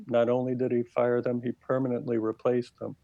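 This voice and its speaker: A man speaking as a professor and interview guest, explaining history in a measured, academic way. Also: medium pitch, completely flat delivery, vocal fry, warm tone, no echo, lo-fi sound.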